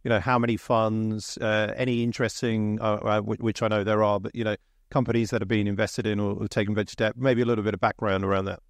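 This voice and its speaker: A man's voice: lowish voice, little pitch movement, quick pace, gravelly, no echo. A man hosting an interview podcast, asking questions casually with stammering restarts. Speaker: podcast host.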